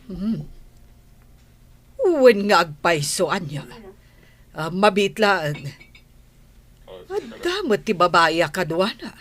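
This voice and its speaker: A woman's voice: mid-range voice, sing-song, takes it slowly, pauses often, a bit bright, full character voice. Woman acting a drawling, gossipy village woman. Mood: scandalized. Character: disapproving, gossipy village woman, sympathetic confidante. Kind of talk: Ilocano radio comedy drama acting.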